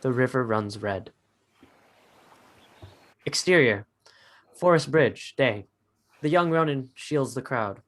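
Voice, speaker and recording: Mid-pitched man narrating a script. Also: animated, slow pace, long pauses, fairly bright tone. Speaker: narrator reading stage directions.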